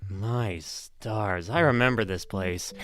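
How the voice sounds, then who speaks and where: A man's voice: fairly low pitch, slow pace, fairly bright tone. A man playing a flamboyant, roguish young thief with a theatrical manner in a fan audio drama. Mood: wistful. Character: flamboyant, cocky young male thief and rogue.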